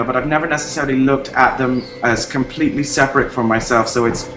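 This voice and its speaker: Man from Barbados, artist in interview, measured and reflective, mid voice, echoey room over music. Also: medium pitch. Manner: thoughtful, measured, with frequent pauses and restarts. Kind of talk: interview talk about his art practice.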